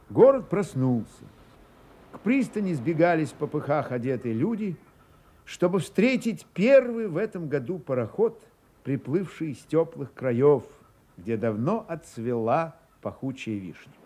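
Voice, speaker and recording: Man, literary radio narration, slightly high pitch, highly varied intonation, theatrical. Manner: expressive, theatrical storytelling.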